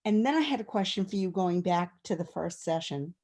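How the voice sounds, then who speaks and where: A woman's voice: fairly low pitch, lively intonation, brisk, darkish tone. Earnest woman asking searching questions. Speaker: class participant.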